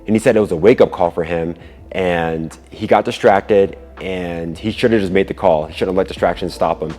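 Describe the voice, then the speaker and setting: A man with a very low voice talks casually and earnestly, as if sharing a personal story with viewers. His words carry a long reverb, and music plays behind him. He often repeats a word or phrase to stress it. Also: moderate pace, darkish tone. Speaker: kickboxer and video creator.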